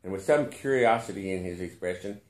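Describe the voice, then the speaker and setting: A man reading a literary short story aloud with expressive narration. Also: low voice, a little fast, roomy sound. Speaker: story reader.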